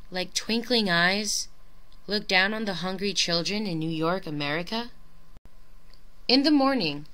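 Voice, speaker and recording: A woman reading a children's picture book aloud in an expressive, dramatic storytelling manner with clear phrasing. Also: medium pitch. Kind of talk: expressive scripted storybook narration.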